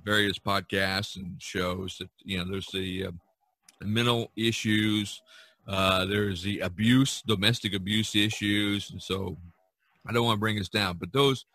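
A man hosting a casual podcast discussion, talking in a rambling, halting way with repeated phrases. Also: lowish voice, little pitch movement, bright, forceful tone, no room sound. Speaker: podcast host and artist.

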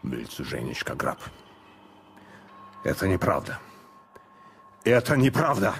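A man's voice: deep voice, huge pitch swings, measured pace, soft background music, exaggerated voice. An actor playing a defiant, agitated murder suspect, a man speaking curt, broken German in a radio drama. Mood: defiant, upset. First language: Russian or Ukrainian. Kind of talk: naturalistic radio drama acting.

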